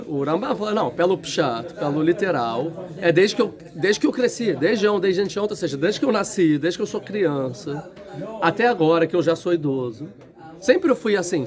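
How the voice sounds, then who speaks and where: A man teaching a casual Torah lesson to a class, thinking aloud, restarting sentences and putting questions to the students. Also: high-pitched. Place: large indoor room. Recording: room with crowd noise. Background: students in the room.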